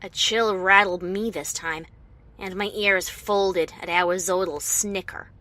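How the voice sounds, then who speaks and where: A woman's voice: fairly low pitch, a little slow. A woman voicing a tense pony author who narrates her own story dramatically, as a fan fiction reading. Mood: tense, wry. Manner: dramatic, expressive.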